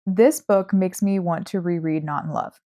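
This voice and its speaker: A woman's voice: lowish voice, normal speed, dark tone. A woman co-hosting a podcast, talking casually and conversationally with plenty of "like" sprinkled in. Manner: conversational, heavy use of "like". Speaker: podcast co-host. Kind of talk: casual book chat.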